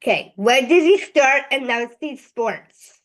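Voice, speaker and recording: A woman's voice: high-pitched, expressive, slow, a bit bright. A female interviewer hosting over a video call and asking prepared questions. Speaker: interviewer, show host.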